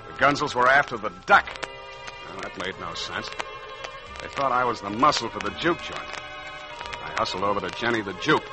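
A man playing a tough, wisecracking private detective in an old-time radio drama, with a terse, slangy, deadpan delivery. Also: lowish voice, fairly quick, long pauses, lightly acted, rough recording. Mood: wry. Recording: vintage radio recording with music behind.

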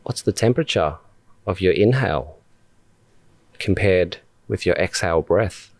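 A man leading a guided meditation as a podcast host, speaking calmly and deliberately in short phrases with long pauses. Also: deep voice, no echo.

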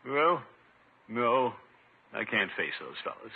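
Sad blustery uncle on 50s radio, swoopy low voice, sighing, super muffled audio. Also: male voice, very animated, slow, creaky, breathy edge, heavily acted. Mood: dejected. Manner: sighing, hesitant, self-pitying. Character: blustery, dejected middle-aged uncle, soft-hearted. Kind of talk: 1950s radio comedy acting. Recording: muffled, narrow-band 1950s radio recording.

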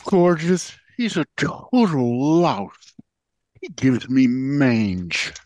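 Put-upon playboy Corgi played by a man, mid voice swinging wildly in pitch, slow, dry recording. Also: big pitch swings, darkish tone, no echo. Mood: grumbling. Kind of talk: audio play acting, theatrical.

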